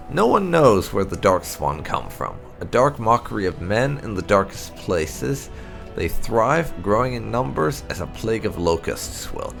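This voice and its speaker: A man hosting a gaming channel, reading fantasy game lore aloud in a measured storytelling way. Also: on the low side, gravelly. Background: background music.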